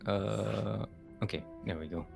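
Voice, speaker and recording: A young man with a Singaporean accent, casting a live strategy game match in an animated, chatty way with frequent laughs. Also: on the low side, a little slow, gravelly, over music. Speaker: esports caster.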